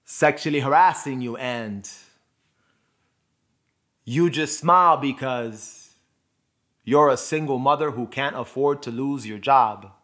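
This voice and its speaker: A man performing a dramatic poetic monologue, phrasing deliberately and emphatically with pauses for effect. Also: mid-range voice, pushed and bright. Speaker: spoken word performer.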